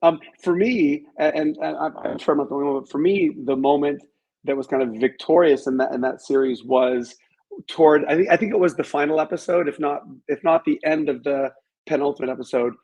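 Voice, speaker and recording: Male interview guest: slightly high, husky voice, careful hedged delivery, pausing to think. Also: darkish tone, poor audio. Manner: measured, hedging, halting while thinking aloud. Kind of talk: reflective interview conversation about films.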